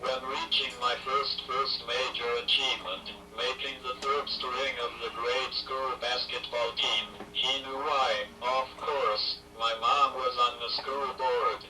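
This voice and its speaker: A male computer-synthesized voice speaks very slowly, with a deadpan, self-mocking wit. It comes through a loudspeaker, heard from a distance in a hall with loud music behind it. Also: medium pitch. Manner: dry self-mocking humor, slow and flat. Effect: computer speech synthesizer. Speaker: honoree giving an acceptance speech. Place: ceremony hall.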